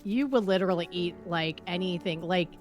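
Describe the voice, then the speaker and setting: Woman playing wry, low-voiced casual friend. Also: fairly quick, faint music behind, lightly acted. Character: casual, teasing female friend of the prisoners. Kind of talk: improvised online roleplay.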